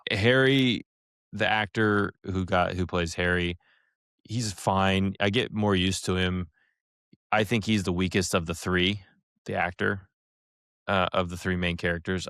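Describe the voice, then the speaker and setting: Man, low voice, podcast co-host, halting and rambling, joking, casual, dry recording. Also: vocal fry, a bit bright, clear, resonant tone, no room sound. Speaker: podcast co-host reviewing an audiobook. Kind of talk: casual review chat.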